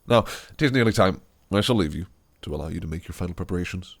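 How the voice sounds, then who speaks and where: A man playing a deep, gravelly fae prince. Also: animated, quick pace, exaggerated voice. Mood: teasing. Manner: silky, archaic, coaxing turning menacing. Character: possessive, scheming male fae prince bridegroom. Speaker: voice actor. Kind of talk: audio roleplay acting.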